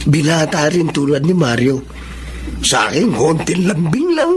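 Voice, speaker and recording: A man's voice: a little high, measured pace, a little hiss or hum. An actor playing a cheeky, jokey bachelor employee who teases his boss in a Filipino radio drama. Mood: teasing. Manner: jokey, animated. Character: cheeky, teasing bachelor sidekick and funeral parlor employee.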